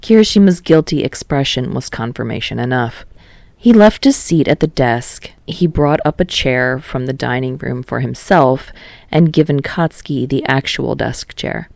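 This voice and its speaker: Woman audiobook narrator, lowish voice, reading fiction, really dry recording. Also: normal speed, creaky, a little mellow, dry recording.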